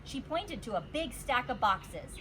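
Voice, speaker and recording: Animated, high-voiced woman reading a story. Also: background noise. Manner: animated, deliberate storytelling for children. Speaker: teacher reading a story aloud. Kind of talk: expressive children's storybook narration.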